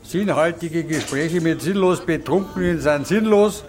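An actor playing a pompous, mock-learned pub regular in an audio drama. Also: male voice, a little high, unhurried, a little mellow, close to an everyday voice. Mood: pompous musing. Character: pompous, tipsy male regular at philosophers' table.

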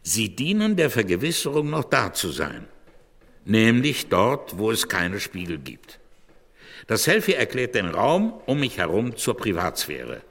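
A man's voice: lowish voice, huge pitch swings, measured pace, gravelly, a bit bright, slightly rough, full character voice. An actor playing an earnest male cultural critic who delivers a bleak monologue in a rhetorical, emphatic way, as in a radio drama. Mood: earnest, urgent. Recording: long hall reverb.

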